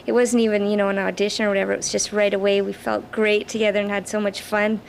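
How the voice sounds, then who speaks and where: Young-sounding woman, flat gravelly voice. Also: mid-range voice, quick pace, rough recording. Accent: Canadian. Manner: earnest, laughs easily. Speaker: bassist in a rock band. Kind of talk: casual interview answers. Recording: raw camcorder audio with background noise.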